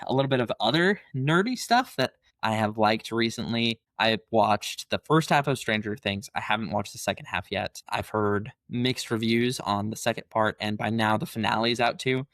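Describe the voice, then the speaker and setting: A young man hosting his own podcast, chatting casually and rambling with enthusiasm while his voice is still hoarse from being sick. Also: fairly low pitch, a bit bright, no echo. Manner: enthusiastic, rambling, fan gushing. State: hoarse, recovering voice. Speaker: podcast host. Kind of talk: casual solo podcast chat.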